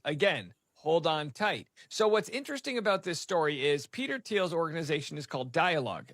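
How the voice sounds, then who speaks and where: A man's voice: on the high side, a bit bright, no room sound, studio quality. A man giving news explainer commentary in short, punchy phrases with a dramatic, tongue-in-cheek manner.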